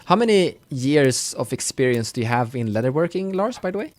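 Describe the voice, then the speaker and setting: Male game master, mid-pitched voice, conversational narration and questions for a tabletop group. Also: big pitch swings, brisk. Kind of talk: running a tabletop roleplaying session.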